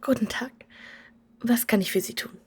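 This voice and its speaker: An actress playing a polite, flustered innkeeper in an audio roleplay, speaking in a hesitant, courteous half-whisper. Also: female voice, fairly high pitch, moderate pace, breathy edge.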